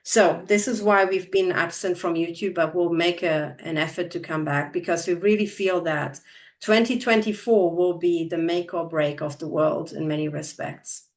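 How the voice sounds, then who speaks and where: A woman's voice: lowish voice, steady tone, normal speed, recorded from a distance. A woman from Transylvania whose first language is Hungarian, hosting a casual interview in an earnest way and often repeating words as she starts a sentence. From Transylvania, Romania. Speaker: host.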